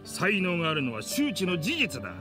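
Lively, high-voiced loyal henchman. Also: normal speed. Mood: frank, teasing. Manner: rough, casual, frank. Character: loyal, easygoing suited male gang henchman. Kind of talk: anime game voice acting. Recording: clear background music behind voice.

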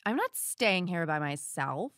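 A woman's voice: fairly low pitch, very animated, measured pace, a bit bright, no room sound, clean studio sound. A woman playing a classy, guarded, sardonic witness in an audio drama.

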